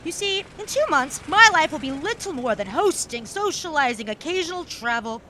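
A woman playing an effervescent young upper-crust socialite of the 1920s in an audio drama, genteel, wordy and halting. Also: sits high, sing-song, pushed and bright, a little hiss or hum, exaggerated voice. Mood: flustered, polite. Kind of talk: period audio drama acting.

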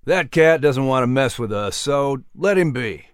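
A patient woodsman uncle, voiced by a man, explaining things with a lively, rising and falling voice. It is recorded dry and close. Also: mid-range voice, measured pace, clean tone, no echo, lightly acted. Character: patient adult uncle, wise woodsman teacher.

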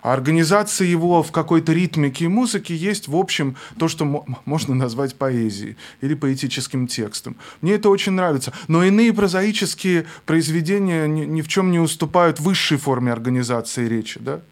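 A man's voice: on the high side, fairly quick, hardly any pauses. Reflective young man, remote interview guest. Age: young adult. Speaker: guest, film director. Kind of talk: thoughtful interview talk.

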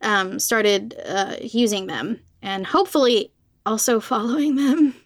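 A woman's voice: on the high side, unhurried, no echo, clean studio sound. A woman hosting a casual interview, speaking informally and supportively as she responds to a guest. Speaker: podcast host, interviewer.

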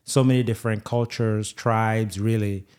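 Male podcast host, physician from Nigeria, lower-pitched voice, conversational interviewing. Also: steady tone, normal speed, darkish tone, clear, resonant tone.

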